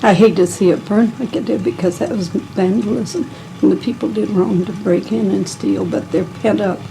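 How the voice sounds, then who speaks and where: Female resident, middle-aged, emphatic and plain-spoken, mid-range voice, 1970s newsreel with clear traffic noise. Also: medium pitch, normal speed. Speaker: local resident interviewed. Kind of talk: news interview.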